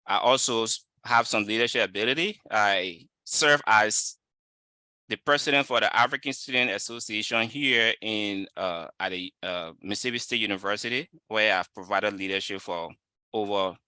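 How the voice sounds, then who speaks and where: A man's voice: lowish voice, moderate pace, sharp tone, clean tone, no room sound, rough recording. A man from Liberia with a Liberian accent, giving an informal webinar lecture in an explanatory, emphatic way. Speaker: webinar speaker, student researcher.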